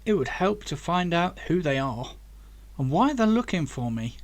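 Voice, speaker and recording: A man's voice: fairly high pitch, sing-song, normal speed. A man voicing an eager, curious young male hero in an audiobook, asking questions and exclaiming keenly. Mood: determined. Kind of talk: audiobook character dialogue.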